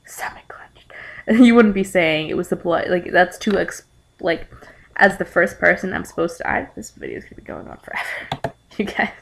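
A young adult woman reading aloud and commenting casually, in a halting, rambling way with exasperated asides. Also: lowish voice, gravelly, a little hiss or hum. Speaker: amateur vlogger reading fan fiction aloud. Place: living room at home.

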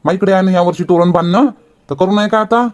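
A man's voice: high voice, fast, darkish tone, natural voice. A man voicing a grumbling village farmer and family man in a cartoon comedy, with a rural Vidarbha Marathi accent. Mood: harried.

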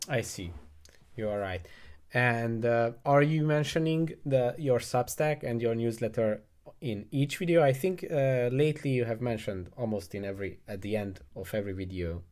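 A man's voice: mid-range voice, moderate pace, darkish tone. A young man hosting a casual interview over a video call with earbuds in, asking probing questions. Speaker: interview host. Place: indoors, home room with gaming chair.

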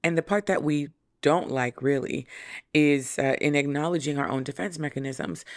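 A woman in her thirties with a hoarse, raspy voice, hosting a solo podcast and explaining ideas in a conversational way, speaking into a stand mic in a small room. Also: deep voice, normal speed, darkish tone. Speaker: podcast host. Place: small decorated room indoors. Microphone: studio mic on stand in front.

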